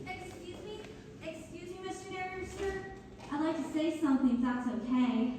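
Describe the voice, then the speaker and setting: A polite, hopeful young townswoman played by an actress speaks sincerely on stage. Her voice is high and mellow, coming from far off in a very echoey hall. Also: fairly even intonation, normal speed, a little hiss or hum. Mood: polite, tentative. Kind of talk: community theater read-through.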